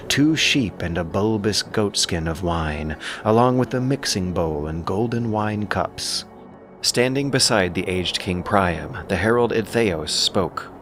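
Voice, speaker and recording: A man narrating an epic tale in a scripted storytelling style. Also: low-pitched.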